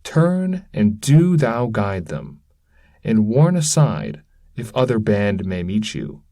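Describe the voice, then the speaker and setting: A man's voice: lowish voice, sing-song, slow pace, warm tone, no room sound, clean studio sound, full character voice. A man voicing a great bearded centaur chieftain in a verse reading, formal, observant and commanding.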